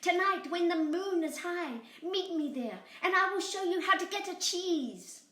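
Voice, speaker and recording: A woman's voice: very high-pitched, lively intonation, measured pace, pushed and bright, pitched above the performer's own voice, exaggerated voice. A woman voicing a timid but cunning little female lamb in a storybook, bleating and coaxing. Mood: eager, sly. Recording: roomy, echoey, distant mic.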